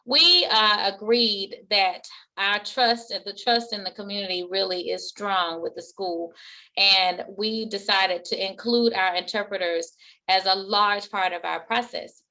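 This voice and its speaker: A woman's voice: mid-range voice, measured pace, sharp tone, poor audio. A woman school principal giving an earnest webinar presentation, speaking with pride about her school's work. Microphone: remote webinar audio.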